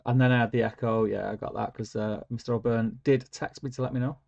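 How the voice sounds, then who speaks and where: A man's voice: medium pitch, steady tone, quick pace, gravelly, a little mellow. A man hosting a casual livestream, reading out viewer comments with lots of fillers and humming to himself. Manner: rambling, filler-heavy, hums while scrolling. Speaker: livestream host. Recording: lo-fi stream audio.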